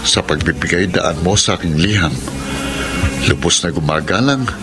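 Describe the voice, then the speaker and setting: A man's voice: low-pitched, normal speed. A man playing a weary, earnest young boyfriend in a Filipino radio drama, defensive when pushed, with a Filipino accent. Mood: earnest, reflective. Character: weary, exasperated young boyfriend telling his love story. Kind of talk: Tagalog radio drama acting, letter narration. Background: background music.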